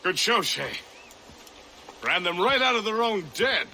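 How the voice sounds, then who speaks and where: Bright, animated jaunty adventurer man. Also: high voice, unhurried, slight background noise, full character voice. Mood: jovial. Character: jaunty, wry male colonial adventurer, friendly and talkative. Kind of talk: dramatic video game cutscene acting.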